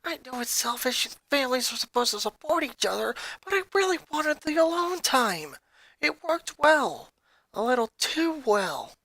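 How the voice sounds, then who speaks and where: A woman's voice: high voice, very animated, moderate pace, a bit bright, dry recording, voice pushed up, full character voice, poor audio. A woman voicing an angry, hurt young boy in an emotional, emphatic fan fiction reading. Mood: bitter, irritated. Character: angry, hurt young boy venting about his family.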